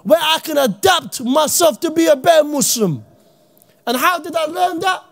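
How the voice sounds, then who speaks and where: A man from Iraq, giving an impassioned, slang-heavy talk to young people as an Islamic speaker and often shouting. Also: very high voice, expressive, normal speed, creaky. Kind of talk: impassioned motivational talk to youth.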